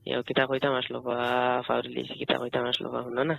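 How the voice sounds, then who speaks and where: A man's voice: medium pitch, monotone, fairly quick, creaky, a bit bright. A man with a Sylheti Bengali accent talking casually and insistently on a private phone call, asking questions and repeating himself. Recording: muffled noisy phone call recording.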